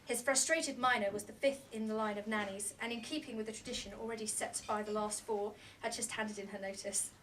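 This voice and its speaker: A woman's voice: mid-range voice, fairly even intonation, brisk, few pauses, fairly bright tone. A woman reading a novel aloud as scripted narration, at a book launch. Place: indoor bookshop launch party. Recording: distant mic in a noisy room.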